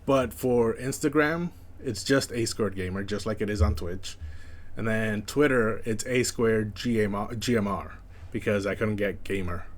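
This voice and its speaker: Flat-toned young man, slight lisp. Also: lowish voice, measured pace. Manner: flat, monotone delivery; rambling with many fillers. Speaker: streamer. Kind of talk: casual chat.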